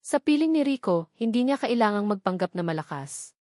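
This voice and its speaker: A woman with a Filipino accent narrating a scripted love story in a measured, expressive, dramatic way. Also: medium pitch, no echo, clean studio sound.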